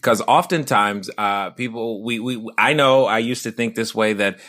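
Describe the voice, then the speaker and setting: A man's voice: lowish voice, normal speed, fairly bright tone. A male podcast host interviewing a guest, full of asides, easy laughs and restarted words. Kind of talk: interview, casual chat.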